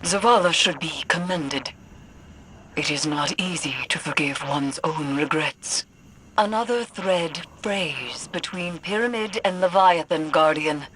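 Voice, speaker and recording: An actress playing a composed, solemn mystic advisor in a video game, with a formal, deliberate delivery. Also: female voice, low-pitched, fairly bright tone, slight background noise, rough recording. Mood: grave, foreboding. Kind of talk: dramatic video game voice acting.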